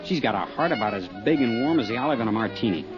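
Actor as jive-talking, cynical roadhouse owner, swooping pitch. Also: male voice, animated, very fast, close to an everyday voice. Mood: cynical, needling. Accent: American. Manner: jive-talking, breezy, sardonic. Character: cynical, slangy wisecracking male roadhouse owner. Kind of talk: 1950s radio drama acting. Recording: dull vintage radio recording, music behind.

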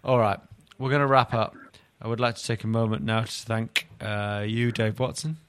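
A man's voice: fairly low pitch, little pitch movement, moderate pace, fairly bright tone, clear, resonant tone. A man hosting a podcast in casual football chat, with a self-deprecating way of talking. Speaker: podcast host.

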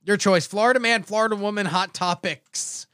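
A man's voice: high-pitched, normal speed, fairly bright tone, clean tone, dry recording, studio quality. A man in his thirties hosting a casual comedy chat, jokingly reading out odd news stories. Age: 30s. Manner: jokey, reads news stories aloud with asides. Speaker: podcast host.

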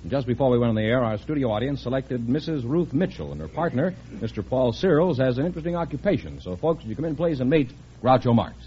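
Brisk male announcer, old radio. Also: medium pitch, lively intonation, mellow. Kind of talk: scripted announcing on a 1950s radio quiz show. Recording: dull old radio broadcast, faint noise.

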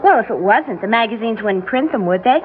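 High and chatty, an actress plays a pushy young office girl in a vintage radio drama. She rattles on in a gossipy, matter-of-fact way. The recording is thin and tinny, like a telephone. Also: lively intonation, fairly quick, natural voice. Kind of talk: classic radio drama acting.

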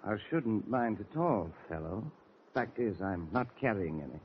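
A man plays a secretive, condescending intelligence agent with a fairly low voice and clipped, formal speech. It is a 1940s radio drama, muffled, with light noise. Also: lively intonation, moderate pace, lightly acted. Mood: impatient, affronted. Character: haughty, secretive self-styled British intelligence agent.

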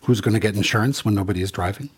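Earnest, very husky Congolese-born man. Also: lowish voice, rapid-fire. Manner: earnest, reflective storytelling with quoted exchanges. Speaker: rideshare driver. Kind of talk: personal monologue.

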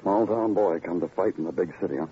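An actor playing a world-weary, brooding police detective, narrating in a poetic, deadpan way in a noir radio drama. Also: male voice, deep voice, fast, slight background noise, close to an everyday voice. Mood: terse, pensive. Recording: dull old radio recording, loud music.

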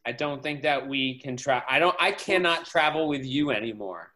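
A man's voice: mid-range voice, moderate pace, bright, forceful tone, close to an everyday voice. A man playing a weary, exasperated traveller who is firm with a companion, in improvised sketch comedy.

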